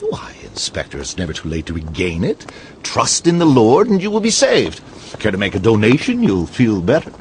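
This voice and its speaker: A sly travelling preacher in a radio drama, unctuous and theatrical. His low voice rises and falls in big swoops over faint background noise. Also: huge pitch swings, moderate pace. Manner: oily, theatrical. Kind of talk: old-time radio drama acting.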